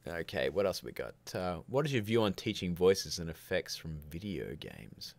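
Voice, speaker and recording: A man's voice: sits low, sing-song, moderate pace, vocal fry. A man hosting a live stream, chatting casually and rambling through questions with candid opinions and jokey asides. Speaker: livestream host of a filmmaking show.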